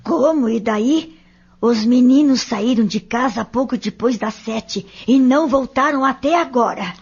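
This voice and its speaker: Woman as a worried wife, high voice, nagging, Brazilian accent, dull lo-fi audio novel. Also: a little slow, a little mellow, breathy edge. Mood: worried, scolding. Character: worried, scolding mother and wife. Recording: dull lo-fi audio drama recording.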